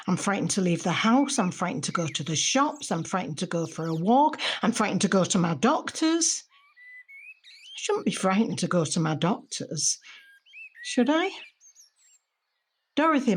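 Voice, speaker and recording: An elderly woman alone at home in an audio drama monologue. Her voice is fairly low and husky, and she speaks slowly. She sounds anxious and lonely, confiding her thoughts in a reflective way. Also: a little mellow, heavily acted. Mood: fearful, then worried, indignant. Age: 70s. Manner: reflective, confiding, with rhetorical questions.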